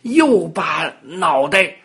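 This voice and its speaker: A man telling a children's bedtime story in a dramatic, expressive way, with exclamations and sound words. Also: on the high side, very slow, breathy edge.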